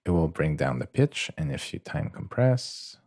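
A man presenting a casual software tutorial in a hesitant, halting way with many pauses. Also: sits low, sing-song, gravelly, loud music behind the voice.

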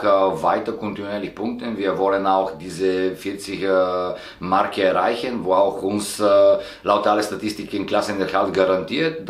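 A man, a football coach giving a halting press interview full of filler sounds. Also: on the low side. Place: indoor stadium media room, sponsor wall. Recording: echoey room.

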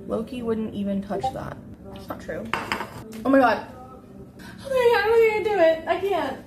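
A young woman in her twenties livestreams from her home kitchen, her voice sitting high. She chats casually and dramatically, groaning at smells and exclaiming as she goes. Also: big pitch swings, normal speed, music in the background. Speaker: streamer. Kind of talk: casual livestream chat while cooking.

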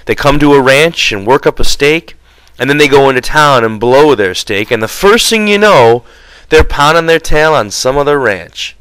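A man's voice: mid-range voice, animated, normal speed, a bit bright, clean tone, dry recording, voice pushed up, close to an everyday voice, low-quality recording. A man voicing an impatient but protective migrant ranch hand in an audiobook, with blunt, folksy rural speech. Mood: dreamy, rhythmic.

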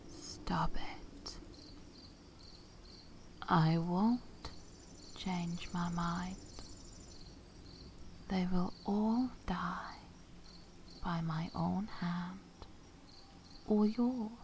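Slow, breathy vampire mistress acted by a woman. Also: mid-range voice, darkish tone, slight background noise. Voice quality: breathy, often whispered. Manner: slow, theatrical, with long pauses. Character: seductive, vengeful female vampire mistress, cruel yet loving. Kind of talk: ASMR roleplay, intimate whispered monologue.

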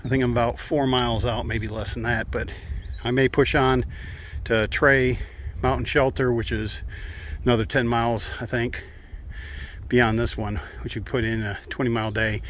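A chatty man talks into his phone while hiking on a wooded trail outdoors. His voice is of middling pitch, and he is sometimes winded from the climb. Faint wind and running water sit behind him. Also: flat delivery, moderate pace, a bit bright. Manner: chatty, narrating while walking. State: out of breath at times. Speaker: hiker vlogger. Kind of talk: casual trail vlog. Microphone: handheld phone. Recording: faint wind and stream noise.

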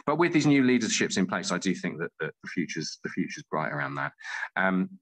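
A man answering interview questions conversationally, thinking aloud and often restating his phrases in a halting, thoughtful way. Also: deep voice, very animated, fairly bright tone. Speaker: interview guest, playwright and theatre director.

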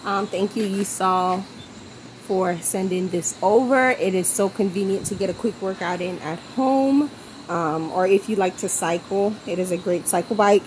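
A woman's voice: mid-range voice, normal speed, slight background noise, low-quality recording. Young woman chatting to her camera, upbeat and easygoing, addressing the viewers, handheld cam sound. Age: young adult. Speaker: vlogger. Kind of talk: casual vlog chat to camera. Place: car, bedroom and patio in a hot place. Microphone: camera held at arm's length.

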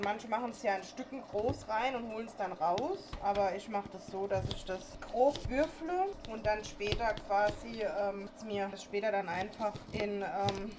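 A woman in her thirties with a somewhat high voice talks informally to her vlog viewers. She explains things as she goes, in a casual way. The recording is made at home, with noticeable noise in the background. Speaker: vlogger. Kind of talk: casual vlog chat while cooking and unboxing. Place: indoors at home, kitchen and living room. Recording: clear background hiss and kitchen noise.

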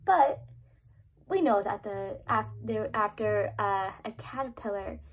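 A young woman, a preschool teacher, reading a picture book aloud to small children at home in a gentle, animated storytime voice. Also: mid-range voice, a little slow, husky, rough recording. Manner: gentle, animated storytime voice, lifts up on repeated lines. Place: small room at home, indoors.

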